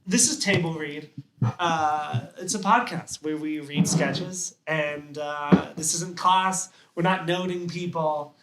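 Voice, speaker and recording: A man with a somewhat high voice hosts a podcast. He talks casually and jokingly, as in a loose chat. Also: slow pace, heavy background noise. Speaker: podcast host.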